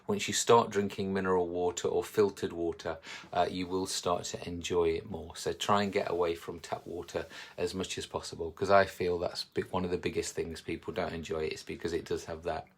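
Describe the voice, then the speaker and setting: A man and slimming coach talking live to his viewers in a chatty, encouraging way. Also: low voice. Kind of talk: live social media talk.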